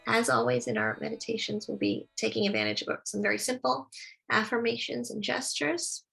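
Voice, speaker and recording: A woman leading a guided meditation, speaking slowly and soothingly as she gives gentle instructions. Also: fairly high pitch, fairly even intonation, vocal fry, husky, faint music behind, poor audio.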